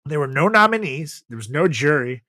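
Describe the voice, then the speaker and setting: A man's voice: on the high side, sing-song, fairly quick, bright, forceful tone, dry recording. A man hosting a comedy podcast with casual, profane banter and theatrical announcer flourishes. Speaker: podcast host.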